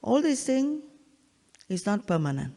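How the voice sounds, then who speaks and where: Older Vietnamese-accented woman, spiritual teacher, halting and repetitive, long reverb of a large hall. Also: medium pitch, sing-song, dark tone. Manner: halting, repeats phrases, checks in with "yeah?". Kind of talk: satsang talk.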